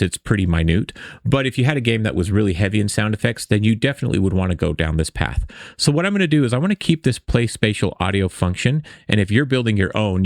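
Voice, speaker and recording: Low, creaky-voiced man teaching. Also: no echo, clean studio sound. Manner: casual, explanatory, often checks in with okay. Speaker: tutorial instructor. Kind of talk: casual screen-recorded coding tutorial.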